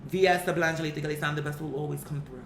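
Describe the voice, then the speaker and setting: A woman with an American accent and a slightly low voice talks straight to her audience in opinionated commentary. She is emphatic and slangy, pressing points with rhetorical questions. The room echoes around her, with a faint rumble of noise underneath. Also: steady tone, very fast. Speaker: vlogger, commentary host. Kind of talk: opinionated casual commentary to viewers.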